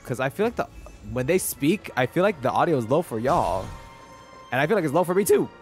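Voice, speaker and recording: A man's voice: fairly high pitch, very animated, brisk. A young man chatting casually on a gameplay livestream in a slangy, rambling, playful way. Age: young adult. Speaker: video game streamer. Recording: faint game music behind.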